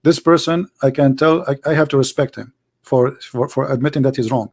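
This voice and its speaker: A man debating religion, arguing forcefully and repeating himself as he presses his point. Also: mid-range voice, fairly quick, creaky. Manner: forceful, argumentative, repeats words. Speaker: debate host. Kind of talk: heated debate.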